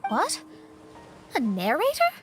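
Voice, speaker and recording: Analytical game heroine, young woman, high voice, measured phrasing, music behind. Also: huge pitch swings, exaggerated voice. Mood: surprised. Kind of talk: video game voice acting.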